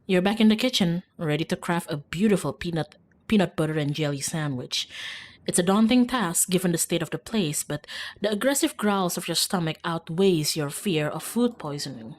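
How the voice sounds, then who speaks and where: A woman's voice: lowish voice, lively intonation, moderate pace, a little hiss or hum, natural voice. A woman reading as a wry, dramatic second-person narrator of a visual novel. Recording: background music.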